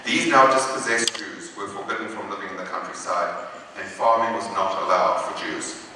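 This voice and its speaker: A man's voice: sits low, moderate pace. Man lecturing in an echoey hall. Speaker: presenter. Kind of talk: prepared lecture, read aloud. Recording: echoey hall from far off with faint noise.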